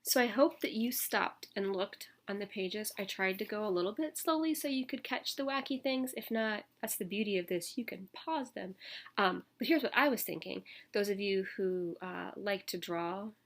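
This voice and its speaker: A woman teacher reading a picture book aloud to children, expressive and emphatic, with casual asides to her listeners. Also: mid-range voice, moderate pace.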